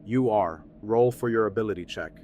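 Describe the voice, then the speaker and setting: A man's voice: on the low side, normal speed, darkish tone, slight background noise, lightly acted. A man playing a friendly, loyal, laid-back game master who talks in casual slang, in an audio drama. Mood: game-master narrating.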